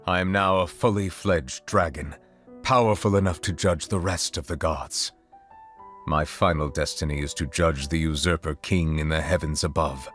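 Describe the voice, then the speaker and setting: A deep-voiced, solemn dragon lord from a fantasy game, proud and formal, speaking as a judge of gods over faint music. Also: male voice, moderate pace, fairly bright tone. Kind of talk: video game character acting.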